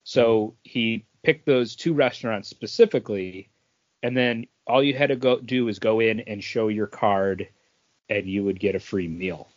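A man's voice: lowish voice, unhurried, no room sound. A middle-aged man co-hosting a podcast, chatting casually about entertainment news in a rambling, anecdotal way. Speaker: podcast co-host. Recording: dull lo-fi sound.

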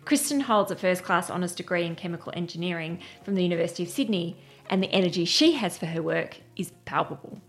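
A woman podcast host with a slightly low voice, reading her scripted introduction in a clear, measured way before moving into friendly interview questions. Faint music sits under the opening. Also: animated.

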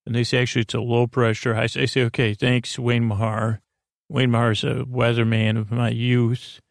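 A man hosting a sleep podcast in a creaky voice, lulling and soothing, meandering off into tangents. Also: medium pitch, little pitch movement, moderate pace, dry recording, clean studio sound. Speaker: podcast host. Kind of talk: rambling bedtime sleep-podcast monologue. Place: small closet.

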